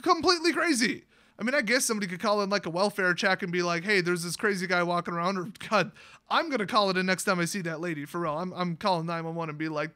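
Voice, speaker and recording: American man, high sharp voice, sarcastic, exasperated, casual storyteller. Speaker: YouTube storyteller and gamer.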